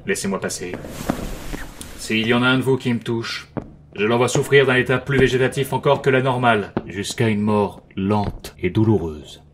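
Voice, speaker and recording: A contemptuous, threatening doctor acted by a man in an audio comedy. His voice sits at a medium pitch, pompous and florid, with faint noise behind it. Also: moderate pace, natural voice. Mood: cold threat. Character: haughty, menacing, contemptuous male doctor. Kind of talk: comedic audio drama acting.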